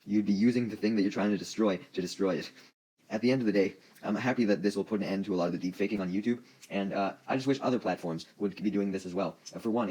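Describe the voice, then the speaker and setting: A man's voice: lowish voice, darkish tone, low-quality recording. A man giving casual, opinionated news commentary as an online host, in informal language with some swearing.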